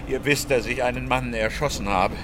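A man's voice: fairly quick, lightly acted. An actor playing a shaken, bitter and suspicious small-town man in a German radio crime drama, brooding and accusing in manner. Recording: loud car rumble behind voice.

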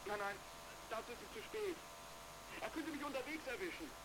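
An actor playing an anxious, hesitant travel writer obsessed with the wind, in a radio drama. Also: male voice, extremely high pitch, big pitch swings, fairly quick. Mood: fearful. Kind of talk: radio play acting. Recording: noisy, humming telephone line.

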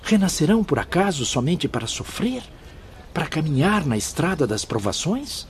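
A man's voice: fairly high pitch, very animated, normal speed, a little hiss or hum. A man playing a compassionate, troubled spirit in a radio drama, pleading and theatrical. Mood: pained, pitying. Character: compassionate, sorrowful male spirit, deeply troubled.

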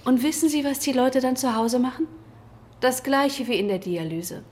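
A woman playing a bitter, evasive middle-aged doctor in a radio drama, with a cool, measured and complaining manner. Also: high-pitched, lively intonation, darkish tone. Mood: bitter, disdainful. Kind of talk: naturalistic radio drama acting.

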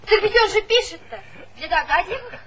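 A woman's voice: very high-pitched, animated, fairly quick. An actress playing a proud, pert young woman, stubbornly independent, in a Soviet stage comedy. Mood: sharp, scornful. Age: young adult. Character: spirited, proud young orphan woman, newly arrived specialist. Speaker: actor. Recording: slightly noisy old theatre recording.

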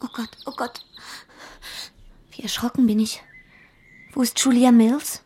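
Radio play role: a childish, fretful young bride-to-be, higher-pitched and pouty. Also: female voice, fairly even intonation, measured pace, a little mellow, husky. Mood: frightened, fretful. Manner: pouting, naive, dramatic. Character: childish, spoiled, fluttery young sweetheart. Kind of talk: German radio play acting.

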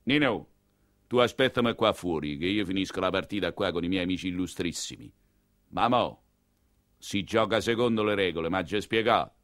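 Casting: man as bossy, short-tempered cardinal, Roman accent, lively swings in pitch, radio theatre. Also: normal speed, dry recording, studio quality. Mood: commanding. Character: newly roused cardinal, commanding and irritable male prelate. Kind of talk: theatrical radio monologue acting.

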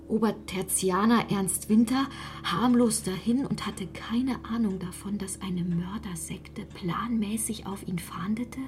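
A woman playing a narrator who reads a sinister account in a radio drama, breathy and often half-whispered. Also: mid-range voice, darkish tone, slight background noise, lightly acted. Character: hushed female narrator reading a sinister accusatory account. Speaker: actress in a radio play. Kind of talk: scripted radio drama reading.

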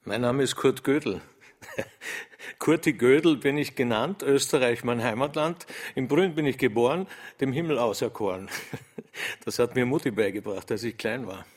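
An actor playing an intense, awkward, logical mathematician in a radio drama, speaking deliberately and precisely. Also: male voice, mid-range voice, natural voice. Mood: shy, earnest.

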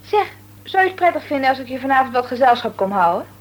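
Frank, sociable family woman for radio drama: very animated intonation, emphatic delivery. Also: high-pitched, quick pace, muffled recording. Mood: friendly, breezy. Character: Maartje, chatty, frank, sociable sister-in-law and family friend. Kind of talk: Dutch radio play acting.

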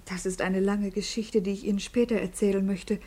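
An actress in a 1950s German radio drama playing a gentle, well-bred young lady who speaks politely, softly and with earnest compassion. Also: mid-range voice, fairly even intonation, brisk, natural voice. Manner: polite, soft-spoken, breathy.

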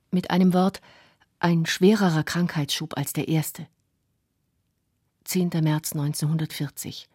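An actress playing a grave, restrained wife reading from her diary in a sober radio documentary drama, with a husky voice. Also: sits low, a little mellow, dry recording, clean studio sound, full character voice.

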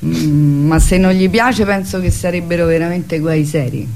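A woman's voice: fairly low pitch, moderate pace, darkish tone, slight background noise. A woman being interviewed as a theatre artist, talking conversationally and reflectively, searching for her words.

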